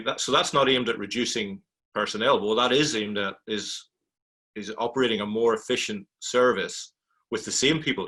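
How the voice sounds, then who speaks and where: A man speaking as an industry panelist, explaining points in a measured, conversational way. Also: on the low side, sharp tone. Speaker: technology provider, panelist.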